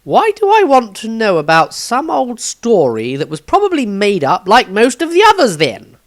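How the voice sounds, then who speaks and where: A man acts out a young apprentice wizard from a fantasy audiobook. The voice is pushed up high, emotional and doubting, and it questions in a strained, halting way. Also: wildly swooping pitch, full character voice. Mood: doubtful. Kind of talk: fantasy audiobook character reading.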